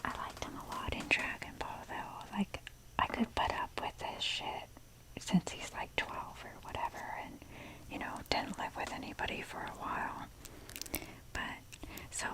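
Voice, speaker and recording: A woman speaking in a soft breathy whisper, rambling casually and hesitantly with wry, self-deprecating humour in an ASMR show-and-tell style. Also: mid-range voice, rough recording. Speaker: ASMR video creator showing a toy collection.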